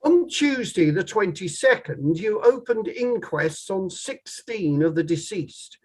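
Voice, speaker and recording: A man playing a formal, probing barrister who questions in a measured way, in a table read of a courtroom play. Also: high-pitched, animated, darkish tone, husky, exaggerated voice. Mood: methodical questioning. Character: probing male inquiry barrister, formal and methodical.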